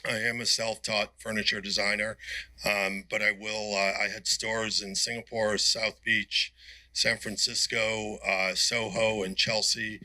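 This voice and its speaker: A man's voice: fairly low pitch, little pitch movement, a little slow, bright, forceful tone, dry recording. A man introducing himself as a furniture designer and retailer on a trade show panel, telling his story steadily with fillers. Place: conference panel.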